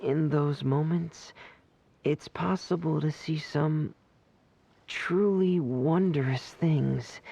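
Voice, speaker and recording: An actor voicing a shy, gentle, dreamy teenage boy in a video game, speaking softly and earnestly with some hesitation. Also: mid-range voice, gravelly, breathy edge, lo-fi sound, heavily acted. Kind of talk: video game character acting.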